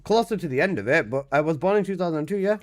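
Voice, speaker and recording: A young man in his twenties chats on a live game stream, his voice sitting a little high for a man and leaping up and down lively as he reacts to chat. He talks loosely and swears casually, getting loud when he protests. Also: racing. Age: 20s.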